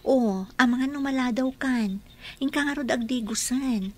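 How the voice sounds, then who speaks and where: Babaeng gumaganap na maalagang nobya. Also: female voice, high-pitched, normal speed, slight background noise, close to an everyday voice. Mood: caring. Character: caring young woman fiancée, fussing and tender. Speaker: fiancée. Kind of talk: radio drama acting.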